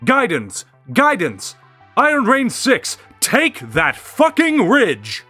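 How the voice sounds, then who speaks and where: An actor playing a gruff, commanding male soldier in a sci-fi audio story, growling forcefully. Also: very high-pitched, wildly swooping pitch, takes it slowly, soft background music, heavily acted.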